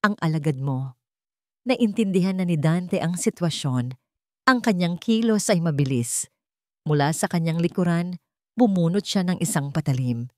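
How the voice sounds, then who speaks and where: A woman's voice: lowish voice, expressive, normal speed, darkish tone, no echo, studio quality. A woman narrating a romantic melodrama as a scripted, dramatic story.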